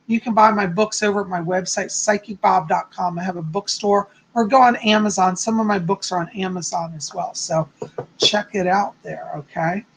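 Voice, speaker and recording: A man with a hoarse, slightly raspy voice, chatting casually from home as a psychic hosting his live stream and greeting viewers effusively. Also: sits high, steady tone, normal speed, slight background noise, low-quality recording. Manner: chatty, effusive, frequent "okay?" and "you know".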